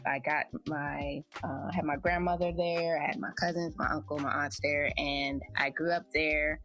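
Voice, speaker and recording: A woman in her thirties, a podcast host, telling her life story in a relaxed, chatty, reflective way. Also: sits low. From United States. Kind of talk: casual personal storytelling.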